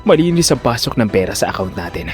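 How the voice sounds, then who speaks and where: A man voicing a corrupt hospital director in an audio drama, smug and calculating, giving measured, conspiratorial instructions. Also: medium pitch, big pitch swings, a little mellow, faint music behind, lightly acted. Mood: smug scheming.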